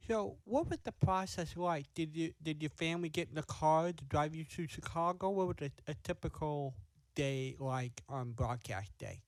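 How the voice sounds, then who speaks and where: A man with a somewhat high voice works as an interviewer in an informal, unscripted exchange. He stumbles into his questions and rewords them midway through. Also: moderate pace, low-quality recording.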